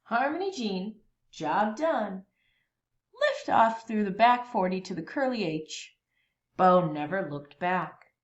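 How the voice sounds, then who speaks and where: A woman reading a children's storybook aloud expressively, with dramatic, deliberate pauses between phrases. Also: medium pitch, expressive, lo-fi sound.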